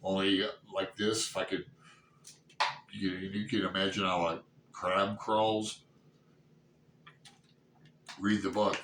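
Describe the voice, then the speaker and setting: A man in his sixties chatting casually and unscripted about books, halting and rambling as he thinks aloud. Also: low voice, little pitch movement, a bit bright. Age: 60s. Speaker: book reviewer vlogger. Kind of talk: casual unscripted book chat. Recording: roomy echo, distant mic, background noise.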